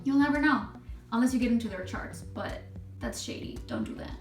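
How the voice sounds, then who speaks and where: A woman's voice: on the high side, a little fast, soft background music. Chatty woman from Chile, roomy sound. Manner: chatty, expressive, laughs often. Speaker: nursing vlogger. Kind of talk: casual vlog talk to camera. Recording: echoey room, mic at a distance.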